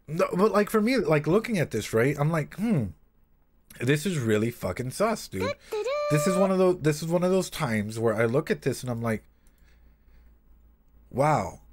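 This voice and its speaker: Rambling, slangy male livestreamer. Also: on the high side. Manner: rambling, repeats phrases, slangy and sweary. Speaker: livestream host and practising therapist. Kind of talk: casual livestream reaction with read-aloud passages and analysis.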